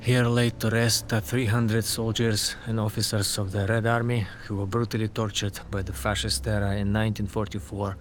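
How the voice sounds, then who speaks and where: An actor playing a terse, grieving freed prisoner of war with a Russian accent, solemn and naturalistic. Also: male voice, on the low side, little pitch movement, normal speed, hardly any pauses, slight background noise, close to an everyday voice. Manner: curt, solemn. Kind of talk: naturalistic audio drama acting.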